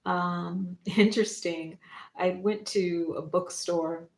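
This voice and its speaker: A woman hosting a spiritual livestream, talking casually and reflectively in short phrases and gentle prompts with pauses. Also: lowish voice, fairly even intonation. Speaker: psychic medium and spiritual teacher hosting a livestream. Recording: roomy, echoing room sound.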